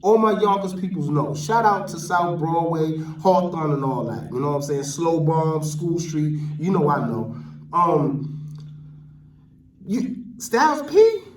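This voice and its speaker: A man's voice: on the high side, animated, unhurried. A man with a New York accent giving casual, slangy street-talk commentary to his viewers, often tagging on filler catchphrases. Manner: slangy, repeats "you know what I'm saying". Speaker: vlogger host. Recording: roomy, distant mic, noisy background.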